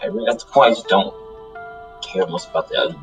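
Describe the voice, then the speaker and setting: A man's voice: mid-range voice, fast, natural voice. A man playing an insecure, earnest good demon in an improvised game roleplay, hesitant and often repeating himself. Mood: worried, earnest. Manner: hesitant, stammering, repeats phrases. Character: insecure, earnest good demon shunning his evil kind. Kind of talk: improvised Minecraft anime roleplay. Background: music.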